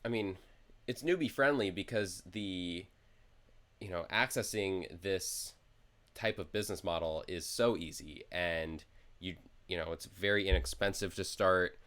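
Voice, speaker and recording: Man, podcast host, deep low voice, enthusiastic, asks questions, casual chat. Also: unhurried, fairly bright tone. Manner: enthusiastic, steering the conversation with questions.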